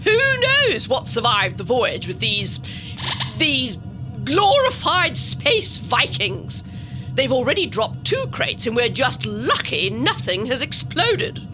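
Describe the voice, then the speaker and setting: A woman's voice: sits high, wildly swooping pitch, slow pace, full character voice, poor audio. A woman playing a haughty, exasperated cargo officer with a theatrical, scolding manner in a sci-fi audio drama. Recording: music behind the voice.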